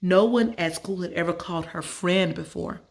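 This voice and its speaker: A woman's voice: fairly low pitch, normal speed, creaky. A woman storyteller narrating a children's story from a script.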